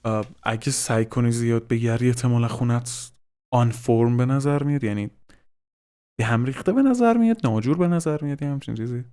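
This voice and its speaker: A man's voice: mid-range voice, warm tone, clean tone. A man gives casual reaction commentary as a host, swearing informally and dropping in English slang.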